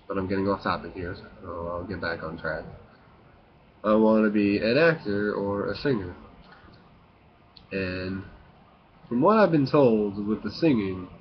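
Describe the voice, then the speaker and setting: A man in his late twenties with a low voice talks casually and confessionally, as if to his own vlog audience. His delivery is slow and halting, stopping and starting as he goes. The recording is muffled and lo-fi. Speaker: video blogger. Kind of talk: casual confessional monologue to camera. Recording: muffled lo-fi with faint hiss.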